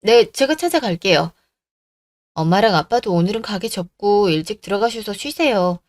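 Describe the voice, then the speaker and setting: A woman's voice: mid-range voice, expressive, normal speed, dry recording, lightly acted. A woman voicing a plucky, assertive young bride-to-be with a cutting manner in a radio drama story. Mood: reassuring. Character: bold, defiant young bride-to-be, plucky daughter.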